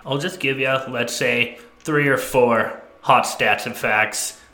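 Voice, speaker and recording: A man's voice: mid-range voice, steady tone, slow, sharp tone, roomy sound. A man co-hosting a podcast, chatting casually and enthusiastically in slangy banter with a friend. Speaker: podcast co-host.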